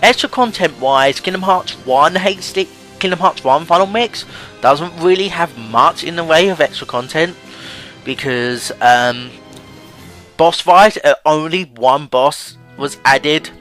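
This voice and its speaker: A man's voice: on the high side, unhurried, fairly bright tone. A young man giving casual, rambling and hesitant let's play commentary over game music. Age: young adult.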